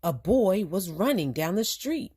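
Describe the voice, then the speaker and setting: A woman reading a children's story aloud as a storytime teacher, expressive and deliberate. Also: medium pitch, breathy edge.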